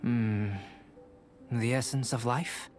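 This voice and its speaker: An actor playing a calm, detached and curious young male scholar in a video game, speaking in formal, articulate, measured phrases. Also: mid-range voice, natural voice. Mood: thoughtful. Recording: background music.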